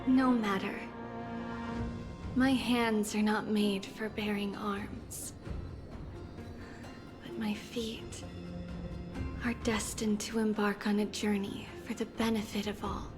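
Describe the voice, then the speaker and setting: An actress playing a solemn, resolute young holy maiden in a video game, speaking formally and earnestly. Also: female voice, medium pitch, flat delivery, takes it slowly, pauses often, natural voice. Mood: solemn, determined. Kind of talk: scripted video game cutscene acting. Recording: music behind the voice.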